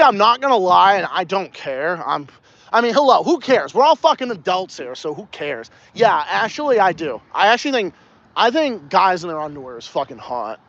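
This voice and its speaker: A man's voice: high-pitched, muffled recording. A young man with a slight Texas Southern accent hosting a casual outdoor livestream, rambling and swearing a lot while he walks around. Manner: animated, profane, rambling, talking while walking. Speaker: podcast host.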